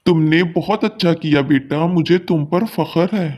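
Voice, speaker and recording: A man's voice: on the high side, normal speed, a little mellow, close to an everyday voice. A man voicing a kindly, earnest father sparrow in a cartoon story, with a reassuring manner.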